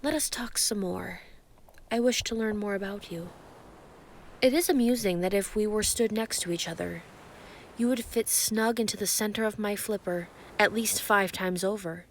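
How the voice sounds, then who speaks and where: A woman playing an ancient, giant female sea creature, calm and grandiose, flirting possessively in an intimate roleplay monologue. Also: mid-range voice, moderate pace, slight background noise, close to an everyday voice. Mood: teasing adoration. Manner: calm, teasing, grandiose, formal wording.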